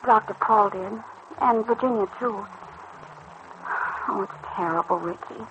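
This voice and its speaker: A muffled, faintly noisy old radio recording holds a woman acting an anxious teenage girl in love. She is soft-hearted and hesitant, in the sincere manner of a vintage soap serial. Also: mid-range voice, a little slow, close to an everyday voice. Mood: anxious, tender.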